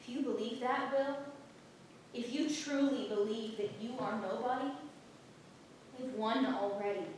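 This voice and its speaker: A woman's voice: a little high, measured pace, long pauses, darkish tone, close to an everyday voice. A woman playing a weary, practical young maidservant in love in a staged play reading, earnest and exasperated. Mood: tender. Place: theatre stage, distant microphone. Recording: very echoey theatre, far-off mic, faint noise.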